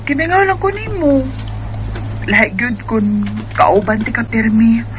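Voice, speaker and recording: An earnest young sweetheart in a sentimental radio drama. Her voice is high, and she pleads and presses insistently, with soft music behind her. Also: sing-song, measured pace, highs cut off. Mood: troubled, affectionate. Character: earnest, insistent young woman in love with her best friend. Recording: background music under the voice.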